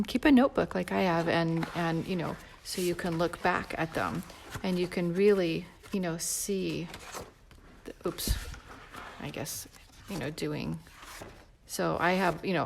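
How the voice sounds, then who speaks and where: A woman leading a live drawing tutorial in a relaxed, rambling way with many fillers, sometimes dropping to a soft near-whisper as she works. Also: lowish voice, gravelly, slight background noise. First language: not Portuguese. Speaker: drawing instructor.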